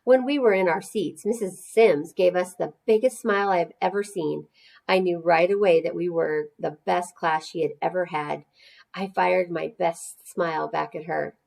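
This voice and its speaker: A woman's voice: lowish voice, normal speed, vocal fry, a little mellow. A woman narrating a children's storybook expressively, as a storyteller reading aloud to young listeners.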